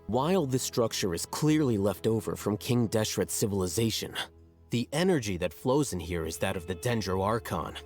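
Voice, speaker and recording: A man voicing a calm, aloof, scholarly character in an anime video game. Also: on the low side, moderate pace, close to an everyday voice. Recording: game audio with music behind.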